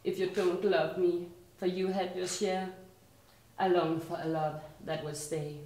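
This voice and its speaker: Danish-accented woman, poet at a live reading, lowish voice, slow rhythmic delivery with soft line endings. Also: flat delivery, reverberant, low-quality recording. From Denmark. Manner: slow, rhythmic recitation that sinks quieter at line ends. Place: art and culture community venue.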